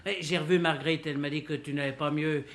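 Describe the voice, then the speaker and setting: An actor playing a glib, slangy young working-class fellow, falsely friendly and cajoling, in a radio play. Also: male voice, fairly high pitch, fairly even intonation, quick pace, fairly bright tone. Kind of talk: French radio play acting.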